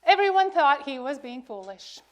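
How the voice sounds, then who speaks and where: A woman reading a children's story aloud to a congregation, telling it expressively with emphasis and deliberate pauses. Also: high voice, sing-song, dull audio.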